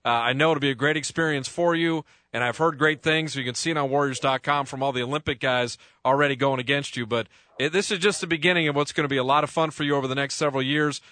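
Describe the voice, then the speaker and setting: A man's voice: medium pitch, steady tone, fast talker, sharp tone, no room sound. A man hosting a sports radio interview in a studio, chatty and joking with lots of fillers.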